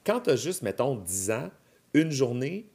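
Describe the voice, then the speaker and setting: A man's voice: medium pitch, big pitch swings, measured pace, creaky, a little mellow, slightly rough. A man in his thirties with a Québécois French accent, chatting casually as a podcast guest and explaining his ideas with examples and asides. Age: 30s. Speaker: podcast guest, drag performer. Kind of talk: casual chat.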